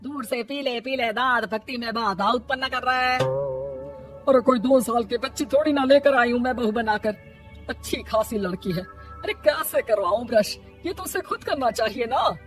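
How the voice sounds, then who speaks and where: A sharp-tongued older mother-in-law from a cartoon moral tale, acted out by a woman. Her voice sits fairly high and she scolds with exasperated sarcasm. Clear background music plays under her. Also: moderate pace, close to an everyday voice. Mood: scolding, exasperated. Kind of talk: Hindi cartoon moral story acting. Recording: music behind the voice.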